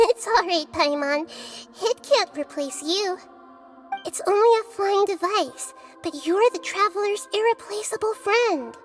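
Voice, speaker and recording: A woman voicing a gentle, wise young girl deity in a video game, speaking in a calm, measured, earnest and articulate way. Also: very high-pitched, faint music behind, exaggerated voice. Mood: reassuring.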